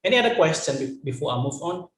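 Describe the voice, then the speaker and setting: Brief: Indonesian-accented male lecturer, slightly high voice, casual explaining, roomy echo. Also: fast talker. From Banten, Indonesia. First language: Bantenese/Indonesian. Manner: relaxed, fillers like "you know". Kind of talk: online lecture.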